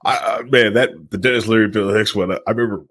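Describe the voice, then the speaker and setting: A man chatting casually and giving his opinions in an animated way. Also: on the low side, fast talker, breathy edge. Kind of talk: casual chat.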